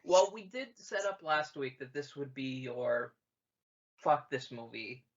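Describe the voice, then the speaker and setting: A man's voice: mid-range voice, expressive, measured pace, highs cut off. A man about thirty hosting a podcast, laughing easily and steering the conversation between his co-hosts. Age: 30s. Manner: laughing, moderating, steering the talk. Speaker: podcast host. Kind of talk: casual chat.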